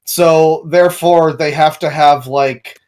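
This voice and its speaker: Casual man in relaxed group banter. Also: a little high, slow pace. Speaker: podcast panelist. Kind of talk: casual group chat about video game movies.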